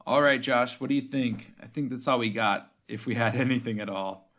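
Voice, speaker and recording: Bright, playful laughing man. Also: medium pitch, a little fast. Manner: playful, laughs often, teasing. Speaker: podcast co-host. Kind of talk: casual comedic chat.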